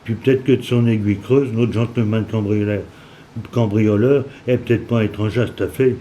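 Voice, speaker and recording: A man in his seventies from Normandy with a Cauchois accent, reading a story aloud in dialect, halting at times with restarts and asides. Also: on the low side, fairly even intonation, dark tone, slight background noise. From Normandy, France. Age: 70s.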